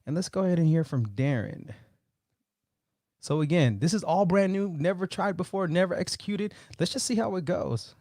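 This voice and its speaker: A man's voice: high-pitched, lively intonation, normal speed, a little mellow, dry recording, clean studio sound. Man hosting a casual motivational livestream. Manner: informal, brotherly way of addressing people. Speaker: livestream host.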